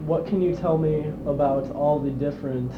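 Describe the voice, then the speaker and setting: A man's voice: fairly high pitch, fairly even intonation, normal speed. A man interviewing an older woman, patiently repeating and rephrasing his questions. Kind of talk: oral history interview. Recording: distant roomy lo-fi recording with noise.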